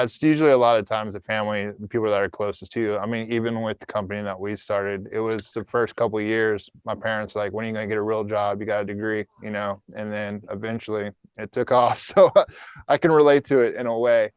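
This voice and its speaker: A man hosts an interview and asks questions in an easy, casual way. His voice is smooth and clear at a mid pitch. The sound is dry and a little dull. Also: no room sound. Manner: casual and conversational. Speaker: interview host.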